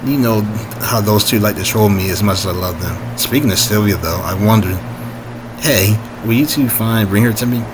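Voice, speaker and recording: A man's voice: fairly low pitch, moderate pace, noisy background, lightly acted. A man voicing a competitive, easily embarrassed male story hero in a casual, plainspoken way. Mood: sheepish, explaining.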